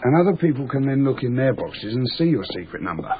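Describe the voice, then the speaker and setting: A tinny, slightly noisy radio drama recording of an earnest, simple working man played by a male actor. He rambles along in a middle-pitched voice, explaining things naively and matter-of-factly, as natural conversation. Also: big pitch swings, fast talker, natural voice. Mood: earnest rambling.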